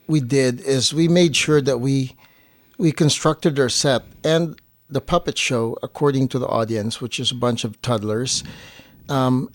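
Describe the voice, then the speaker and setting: A man co-hosting a casual explanatory chat, speaking haltingly with frequent pauses mid-phrase. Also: medium pitch, dry recording. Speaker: co-host and filmmaker.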